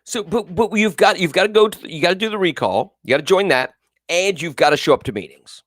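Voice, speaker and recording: A man's voice: high-pitched, lively intonation, brisk, a bit bright, no echo, clean studio sound. Emphatic man with a big voice. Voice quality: big, carrying voice. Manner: emphatic, repetitive, reads chat comments aloud. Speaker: talk show host. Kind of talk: live political call-in commentary.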